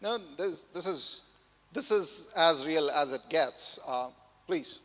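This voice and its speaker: Slightly high-pitched male moderator. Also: animated, slow pace, a bit bright. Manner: brief prompts, emphatic.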